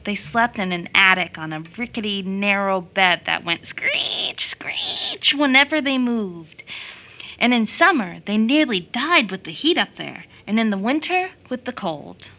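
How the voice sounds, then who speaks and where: A woman's voice: mid-range voice, expressive, a little slow, pushed and bright. An actress playing a playful, tender young woman who tells stories expressively in an audio drama. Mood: tender storytelling. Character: Rachel, warm playful young woman, motherly storyteller.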